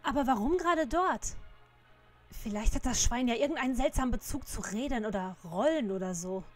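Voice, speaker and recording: Woman as a high-voiced cheeky teenage girl sleuth. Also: expressive, brisk, darkish tone, faint music behind, close to an everyday voice. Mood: matter-of-fact. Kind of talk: audio drama parody acting.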